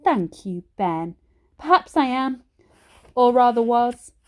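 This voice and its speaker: A woman's voice: fairly high pitch, expressive, slow, darkish tone, pitched above the performer's own voice. A woman voicing a stern but fond old granny in a storybook, with an emphatic, scolding manner. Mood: wistful, confiding.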